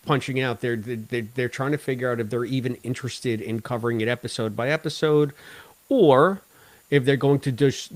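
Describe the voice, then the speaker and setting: A man co-hosting a casual podcast discussion, talking in a wordy, halting way and repeating phrases as he goes. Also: mid-range voice, no room sound. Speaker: podcast co-host.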